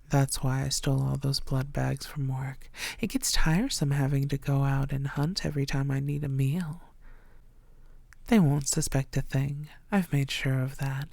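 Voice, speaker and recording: A woman playing a possessive, menacing female vampire in an intimate ASMR roleplay, teasing and often slipping into breathy half-whispers. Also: a bass voice, mellow, dry recording, full character voice. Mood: teasing, sinister. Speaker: audio roleplay voice actor.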